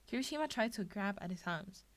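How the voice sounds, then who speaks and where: A woman's voice: mid-range voice, lively intonation, a little fast. A woman reading a scripted fan fiction story aloud as its narrator. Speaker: podfic reader.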